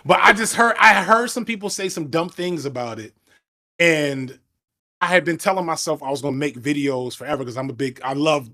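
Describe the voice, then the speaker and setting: A man in his thirties, a former teacher, talking over a headset on a video call in a reflective, fast, self-interrupting way. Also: on the high side, animated, pushed and bright. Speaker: guest, video essayist. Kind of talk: casual video call chat. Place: room at home indoors.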